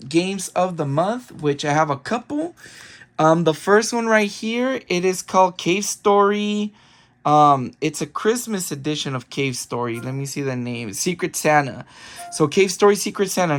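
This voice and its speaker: A man recovering from a cold, his voice a little raspy, rambling through a casual video game haul with lots of ums and restarts. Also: on the high side, a bit bright. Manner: rambling, frequent ums and self-corrections. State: getting over a cold, raspy. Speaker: video game collector vlogger.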